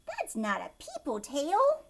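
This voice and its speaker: A woman plays a young storybook girl who doubts what she is shown. She speaks in a high, lively voice. Each objection is deliberate, emphatic and matter-of-fact. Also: heavily acted. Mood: skeptical. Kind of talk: children's storybook read-aloud.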